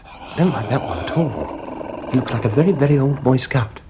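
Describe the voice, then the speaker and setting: An actor playing an exasperated, haphazard upper-class English husband in a farce, with a flustered, petulant manner and a husky voice. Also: male voice, mid-range voice, lively intonation, normal speed, background noise, lightly acted. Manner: flustered, petulant, dryly facetious. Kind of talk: stage farce radio acting.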